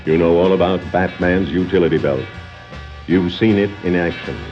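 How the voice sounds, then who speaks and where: A man's voice: sits low, normal speed. A man giving dramatic scripted narration for a 1960s superhero adventure record. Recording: dull vintage vinyl record, music behind.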